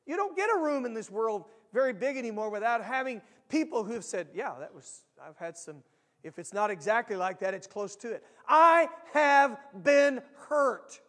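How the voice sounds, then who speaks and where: American pastor, kinda high voice, earnest sermon, big hall echo. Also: male voice, animated, moderate pace. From United States. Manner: earnest, swells loud on key lines, repeats himself. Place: church sanctuary.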